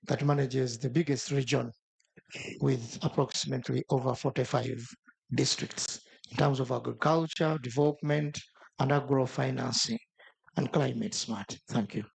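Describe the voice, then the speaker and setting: A man with a gravelly, slightly husky voice introduces himself at a regional meeting. He speaks in a steady, formal way. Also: medium pitch, poor audio. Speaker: head of a development organization. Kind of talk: self-introduction at a policy dialogue.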